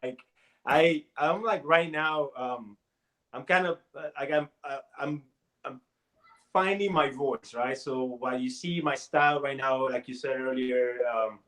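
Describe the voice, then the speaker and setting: A man's voice: medium pitch, measured pace, a bit bright. A man in his forties from Puerto Rico, a native Spanish speaker, talking as a guest in a casual interview with many restarts and fillers. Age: 40s. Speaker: guest artist.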